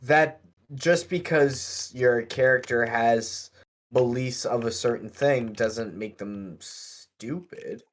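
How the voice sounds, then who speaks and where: Slow-talking man, medium pitch. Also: slight background noise. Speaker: podcast co-host. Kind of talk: casual discussion.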